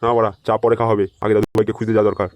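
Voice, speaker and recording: Casting: male actor as excitable, cursing cartoon grandfather, very fast delivery, noisy recording. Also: fairly low pitch, natural voice. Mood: annoyed, worried. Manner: scolding, blunt, excitable. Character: fretful, foul-mouthed grandfather hunting his missing grandson. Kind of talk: amateur comedy cartoon voice acting. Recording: noisy home-made cartoon dub.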